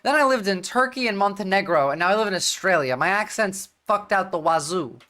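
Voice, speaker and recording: A woman's voice: mid-range voice, expressive, brisk, fairly bright tone. Blunt, profane American woman streamer. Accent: mixed American. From United States. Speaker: livestream host. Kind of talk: casual livestream commentary.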